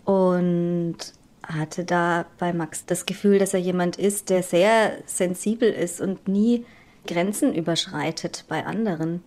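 A woman's voice: on the low side, fairly even intonation, slow pace, darkish tone. Thoughtful, candid woman. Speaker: interviewee, mother. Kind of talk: documentary interview.

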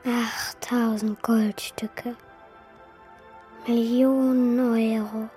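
A woman voicing a naive, eager wooden puppet boy in a children's radio play, with childlike, earnest excitement. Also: fairly high pitch, drawn-out words, soft background music. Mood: dreamy, hushed.

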